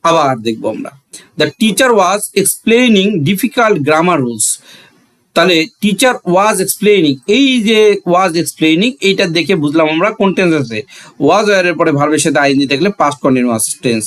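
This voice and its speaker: A man's voice: high-pitched, a bit bright. A man with a Bangladeshi accent teaching a grammar lesson, asking questions and answering them himself, repeating phrases for emphasis.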